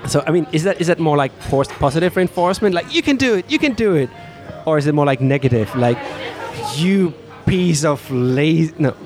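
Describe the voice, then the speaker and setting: A man's voice: a little high, expressive, brisk, over music, a crowd in the background. A man co-hosting a casual interview, teasing and joking with the guest. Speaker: co-host.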